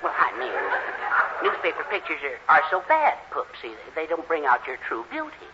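A man's voice: fairly high pitch, huge pitch swings, moderate pace. An actor playing a meek, henpecked middle-aged husband and father in a 1940s radio sitcom, fussy and eager to placate. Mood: flustered, placating. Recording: noisy, dull 1940s radio recording.

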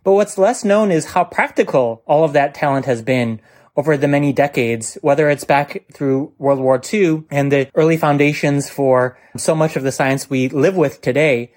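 A man's voice: fairly high pitch, normal speed, a little mellow. A man, a venture fund co-founder, speaking confidently and articulately as a podcast guest. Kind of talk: podcast interview.

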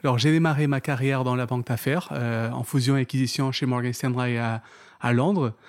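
A man's voice: medium pitch, steady tone, fairly quick. A man from Portugal with a Portuguese accent and Portuguese as his first language, a guest on a podcast, talking casually with many fillers and restarts. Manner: hesitant, many fillers and restarts, laughs easily. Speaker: podcast guest, startup founder. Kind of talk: casual interview.

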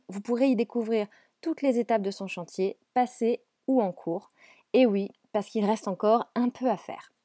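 A woman's voice: medium pitch, no echo, studio quality. A woman hosting a home renovation podcast, reading a cheerful scripted intro and then chatting with her guest with many fillers. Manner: bright, upbeat delivery in the read intro, hesitant with fillers in conversation. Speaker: podcast host.

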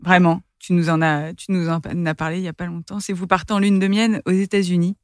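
A woman's voice: on the low side, flat delivery, brisk, darkish tone, no room sound, studio quality. A woman hosting a podcast interview, asking questions and prompting her guest with short affirmations.

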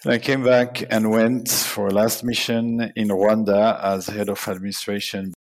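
A man in his fifties from Switzerland, a guest on a podcast interview, recounting his career in a measured way with fillers and restarts. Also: on the low side, level tone. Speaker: guest, people management consultant.

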